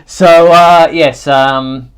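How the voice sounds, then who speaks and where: A man hosting a casual live fan news chat, speaking in a halting way with frequent fillers. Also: on the high side, fairly even intonation, clean tone. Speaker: show host.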